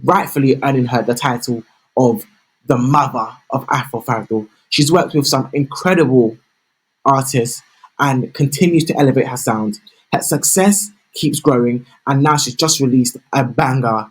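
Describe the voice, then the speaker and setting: A male host with a slightly husky, breathy voice moves through his prepared interview questions. His delivery stays upbeat and full of praise for the guest. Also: medium pitch, normal speed, low-quality recording.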